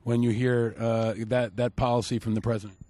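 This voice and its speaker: A man's voice: mid-range voice, little pitch movement, dark tone, no room sound. A man asking passersby questions on a city street. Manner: brisk questioning. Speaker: street interviewer. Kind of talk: vox pop street interview.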